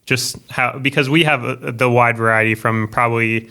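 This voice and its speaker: A man's voice: mid-range voice, fairly even intonation, moderate pace, pushed and bright. A man hosting a casual interview podcast, talking in a rambling way and reworking his questions as he goes. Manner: rambling, self-correcting questions. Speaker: podcast host.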